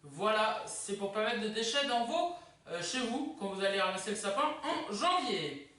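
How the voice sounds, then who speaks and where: Chatty youtube host doing a countdown, kinda halting, very echoey room and off the mic. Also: mid-range voice, sing-song, a bit bright. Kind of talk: countdown video presentation, casual. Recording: echoey room, distant microphone.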